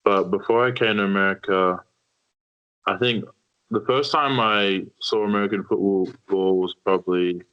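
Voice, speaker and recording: A man's voice: low voice, steady tone, normal speed, smooth, highs cut off. A young man from Australia with an Australian accent, giving casual interview answers as a podcast guest. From Brisbane, Australia. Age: young adult. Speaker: podcast guest, college football player.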